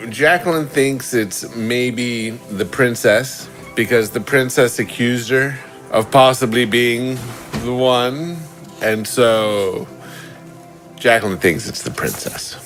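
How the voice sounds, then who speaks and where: A man voicing a girl doll who reasons haltingly aloud in improvised comedy, with a deep voice for a doll. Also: a bit bright, soft background music, rough recording. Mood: slow accusing. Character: deliberate girl doll Jacqueline, speaks in third person. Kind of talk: improvised comedic toy drama.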